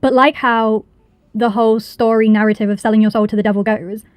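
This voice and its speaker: A woman narrating a scripted video essay in a measured, explanatory way. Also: fairly high pitch, steady tone, smooth, no echo.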